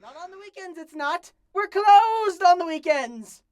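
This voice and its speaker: A woman voicing an exasperated, easily flustered young female pony in an animated parody, whiny and given to dramatic exclamations, under a man's commentary. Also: very high-pitched, a little slow. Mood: angry.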